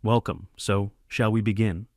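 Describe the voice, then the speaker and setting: Man acting low-voiced, composed crime boss. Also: fairly even intonation, unhurried, gravelly, no echo. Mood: formal. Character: composed, formal male criminal boss. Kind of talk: amateur audio drama acting.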